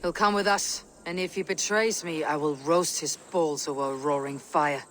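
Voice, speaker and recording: An actor playing a steely, measured Norse warrior leader in a video game, firm and solemn. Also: male voice, a little high, steady tone, a bit bright, lightly acted. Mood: resolute. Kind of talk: video game character acting.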